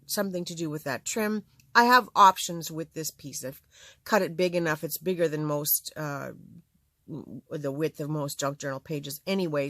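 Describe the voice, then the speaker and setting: An older woman with a slightly raspy voice hosting a casual, rambling craft tutorial, full of ums and asides. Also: on the low side, fairly bright tone, no echo, clean studio sound. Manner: rambling, chatty, many fillers. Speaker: craft channel host.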